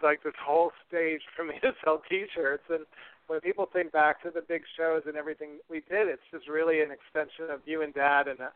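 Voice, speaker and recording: A man's voice: fairly high pitch, clear, resonant tone, dry recording. A man hosting a podcast in a personal, conversational style. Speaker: podcast host. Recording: thin phone-like sound.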